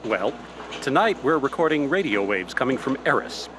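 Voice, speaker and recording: A man's voice: mid-range voice, big pitch swings, moderate pace, background noise, close to an everyday voice. A man playing an affable, slightly hesitant astronomy professor explaining his work in a radio play interview. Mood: eager, explaining.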